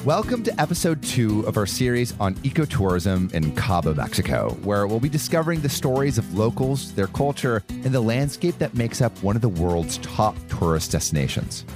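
A man hosting a podcast, narrating in a calm, measured way and interviewing a guest out on a boat. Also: fairly low pitch, music in the background. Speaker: podcast host. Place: on a boat in a bay.

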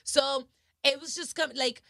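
Young woman, Dominican family, emphatic, passionate, bright forceful voice, dry close sound. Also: sits high, fairly even intonation, a little slow, no room sound. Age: young adult. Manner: passionate, emphatic, mixes in Spanish phrases. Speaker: guest, singer and entertainer. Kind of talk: casual interview talk.

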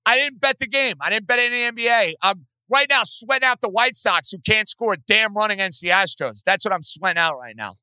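Emphatic high-voiced New sports host. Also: male voice, animated, a little fast, hardly any pauses, pushed and bright, clear, resonant tone, dry recording. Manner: emphatic, repeats himself for stress. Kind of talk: sports call-in talk.

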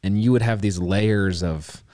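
A man's voice: lowish voice, measured pace, a little mellow, dry recording, clean studio sound. A man co-hosting a podcast, asking questions in a searching, stammering way with many restarts. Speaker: podcast co-host. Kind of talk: conversational interviewing.

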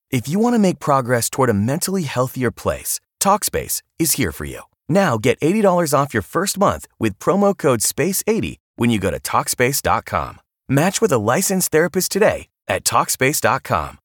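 A man's voice: medium pitch, no room sound, clean studio sound. A man giving a scripted sponsor read with upbeat promotional phrasing. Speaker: ad reader.

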